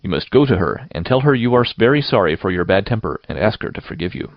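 A man's voice: fairly low pitch, lively intonation, fast, no room sound, dull audio. A man voicing a severe, dry middle-aged woman guardian who scolds in a storybook reading. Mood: firm.

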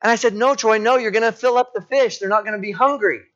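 A male pastor preaching in an easy, storytelling way, his voice sitting a little high for a man. He keeps asking his listeners rhetorical questions. The recording sounds dull and lo-fi. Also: fast talker, a bit bright. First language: English. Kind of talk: sermon.

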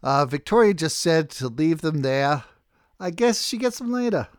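A man doing a folksy, higher-pitched dock worker. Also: big pitch swings, normal speed, no echo, clean studio sound. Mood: earnest. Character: simple, friendly male loading-dock worker. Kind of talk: audiobook character dialogue.

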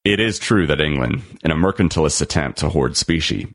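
A man reading a scripted article aloud as a narrator, in a measured, deliberate and formal manner with emphatic phrasing. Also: rumbling low, lively intonation, no echo, studio quality.

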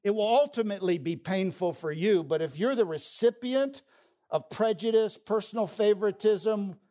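A man's voice: high-pitched, animated, moderate pace, warm tone. A man preaching a sermon, telling a story and quoting scripture with emphasis. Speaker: pastor.

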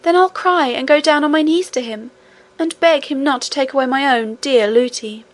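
A woman reader acts out a little storybook princess with a high, childlike voice. She is innocent and affectionate, speaks with precise politeness and can be earnestly stubborn. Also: moderate pace, close to an everyday voice. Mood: pleading, affectionate. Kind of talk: storybook character reading.

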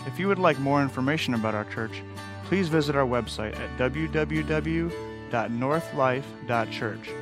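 A man reading a scripted church announcement in a steady, measured way. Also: medium pitch. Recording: announcement over guitar music.

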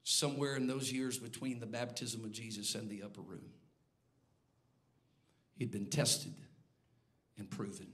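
A man preaching a sermon in a church, swinging between low, hushed lines and loud, near-sung calls to the congregation. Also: little pitch movement, unhurried, pauses often. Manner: builds from quiet, low delivery to loud, near-sung shouting. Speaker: bishop preaching. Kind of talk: Pentecostal sermon. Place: church sanctuary before a congregation.

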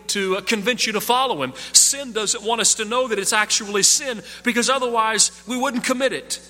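Bright high-voiced male preacher, reverberant hall. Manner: emphatic, drops low on key points. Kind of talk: expository sermon.

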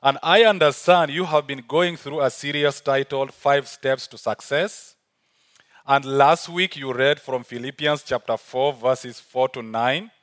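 A man's voice: medium pitch, steady tone, measured pace, fairly bright tone. A man with a South Sudanese accent preaching as a guest speaker in church. He talks earnestly and repeats key phrases for emphasis. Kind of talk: sermon.

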